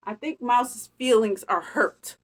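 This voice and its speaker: A woman reading a picture book aloud, expressive and playful, with chatty casual asides to children. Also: a little high, slow. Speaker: storytime reader.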